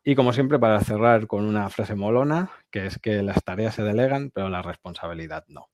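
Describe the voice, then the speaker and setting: A man's voice: on the low side, a little fast, a little mellow, dry recording. A man in his thirties from Barcelona, a guest speaker explaining things in a casual, chatty way on a video call. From Barcelona, Spain. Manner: chatty, explanatory, laughs easily. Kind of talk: casual presentation and chat. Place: home office, indoors. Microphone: video call with earbud headset.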